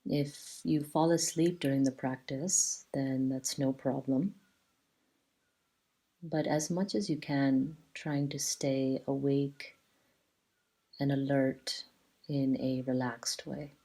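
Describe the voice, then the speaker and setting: A woman teaching a guided yoga relaxation in a soothing, measured way, leaving long pauses between short phrases. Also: a bass voice, fairly even intonation, mellow, rough recording.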